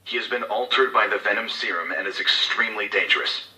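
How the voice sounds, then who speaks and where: Sharp, cold, scheming corporate executive. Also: male voice, fairly low pitch, quick pace, echoey room, far from the mic, low-quality recording. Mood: urgent, grim. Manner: formal, clipped, authoritative, calculating. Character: cold, scheming male corporate executive issuing covert orders. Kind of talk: scripted in-game audio log.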